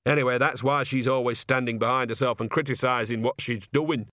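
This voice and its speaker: A man's voice: mid-range voice, fairly even intonation, fairly quick, sharp tone, no echo, clean studio sound, natural voice. Man voicing a blunt, earthy old witch. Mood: gossipy, frank.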